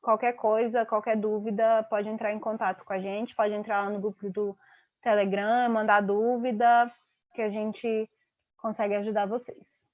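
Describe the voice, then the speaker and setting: A woman's voice: medium pitch, little pitch movement, normal speed, no echo. A young woman with a Brazilian accent giving a live online lesson, explaining simply and chatting with many fillers, heard over a video call mic. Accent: Brazilian Portuguese. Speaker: online tutor. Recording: dull lo-fi live stream audio.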